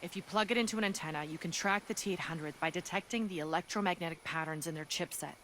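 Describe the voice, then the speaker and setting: A woman's voice: fairly low pitch, quick pace, a bit bright, slight background noise, natural voice. A woman playing a grim, dutiful resistance fighter in a video game cutscene, speaking with earnest, serious urgency. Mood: urgent, serious.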